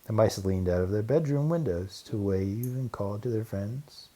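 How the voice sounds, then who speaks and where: A man narrating a children's storybook aloud as an audiobook, with deliberate, dramatic pauses and emphasis. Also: on the low side, warm tone.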